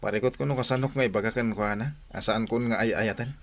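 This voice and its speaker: A man playing a troubled young man in a radio comedy drama, confiding earnestly with sudden loud bursts. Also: fairly low pitch, fast talker, natural voice. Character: young man torn over an arranged engagement.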